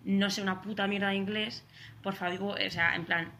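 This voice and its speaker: A woman's voice: medium pitch, steady tone, normal speed, sharp tone, slight background noise. A young woman from Spain with a Spanish accent, chatting as a podcast guest, full of fillers and restarts. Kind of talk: casual chat.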